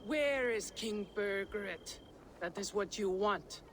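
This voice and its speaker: Game role: taunting, haughty female sellsword boss actress, mid-pitched voice, theatrical and dangerous. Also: slow pace, slight background noise, close to an everyday voice. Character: sly, haughty, menacing female mercenary camp leader. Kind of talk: video game cutscene acting.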